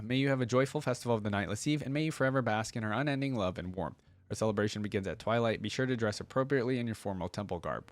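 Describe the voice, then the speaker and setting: A man's voice: mid-range voice, no room sound. A man reading story lore aloud and reacting to it with informal, invested fan commentary. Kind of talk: dramatic read-aloud with casual fan commentary.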